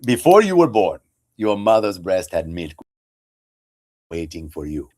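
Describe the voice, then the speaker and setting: A man voicing a calm, wise older Ghanaian man, speaking slowly in an imitated Ghanaian accent. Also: sits low, no echo, studio quality, pitched below the performer's own voice. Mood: gentle, teaching.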